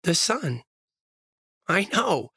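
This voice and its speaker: A man improvising comedy banter with a croaky, hoarse voice and a dry, understated delivery. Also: high-pitched, very animated, very slow, bright, forceful tone.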